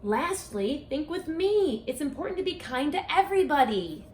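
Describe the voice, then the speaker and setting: Expressive higher-voiced woman reading to children. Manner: expressive, deliberate, directly addressing children. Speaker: children's book author and read-aloud presenter. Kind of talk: read-aloud of a rhyming picture book for children, with asides to young listeners. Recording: echoey room with faint background noise.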